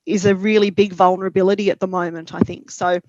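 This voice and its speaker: A woman with an Australian accent, a parent helpline counsellor speaking calmly and reflectively in a webinar discussion. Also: mid-range voice, quick pace, no echo, dull audio.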